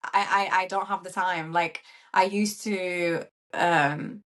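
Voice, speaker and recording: A woman from Syria with a fairly low voice, speaking as a podcast guest. She talks in a chatty, animated way and keeps adding little fillers as she goes. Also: slow pace. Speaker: guest, coach. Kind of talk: casual interview chat.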